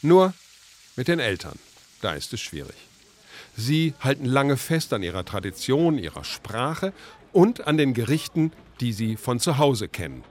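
A man's voice: medium pitch, very animated, a little slow. A man, a native German speaker, reading scripted documentary narration as a radio reporter.

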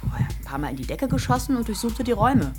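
A woman's voice: a little high, very animated, racing, over loud music. An actress playing a gossipy, well-informed woman in a radio play, telling stories earnestly. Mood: earnest storytelling. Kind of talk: German radio play acting.

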